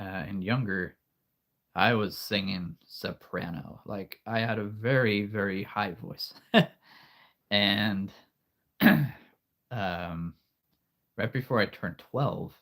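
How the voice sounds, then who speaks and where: A man hosting a live stream in a relaxed, chatty way, with a very deep, soothing storyteller voice and frequent throat clearing from allergies. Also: slow, long pauses. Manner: soothing, calm storyteller delivery; rambling, with frequent restarts and soft laughs. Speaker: livestream host. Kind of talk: casual live-stream chat.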